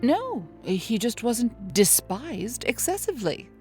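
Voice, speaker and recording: A woman's voice: a little high, big pitch swings, takes it slowly, over loud music. A woman playing a jaded, sardonic industry insider interviewed in a mock documentary, speaking drily. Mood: wry. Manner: wry, dry.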